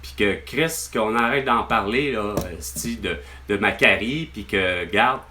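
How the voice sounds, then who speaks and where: A man's voice: lowish voice, measured pace, sharp tone, soft background music. Exasperated man with a Québécois accent. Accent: Québécois French. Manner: rambling, exasperated, swears often. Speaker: vlogger. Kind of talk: venting on a phone call with his mother.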